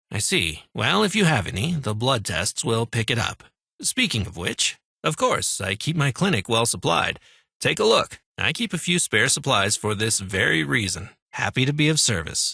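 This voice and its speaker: Man playing a fussy, gravelly military clinic doctor. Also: medium pitch, pushed and bright, dry recording, clean studio sound, full character voice. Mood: pleasantly courteous, then polite, businesslike. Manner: polite, fussy, clipped formal bedside patter with hesitant asides. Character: fussy, courteous military clinic doctor in a post-apocalyptic game. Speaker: game character voice actor. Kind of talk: scripted video game dialogue.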